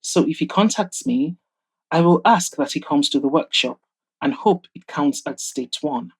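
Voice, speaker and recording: A woman's voice: deep voice, animated, moderate pace, husky, full character voice, poor audio. A woman voicing a proud, guarded woman in an audio drama, speaking in pointed, formal, exasperated phrases. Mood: resigned. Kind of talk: audio drama character dialogue.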